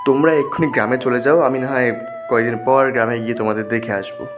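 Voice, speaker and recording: A man's voice: medium pitch, fairly even intonation, quick pace, music in the background, lightly acted. A man voicing a vain, dismissive young son in a cartoon dub, speaking curtly. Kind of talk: cartoon dubbing.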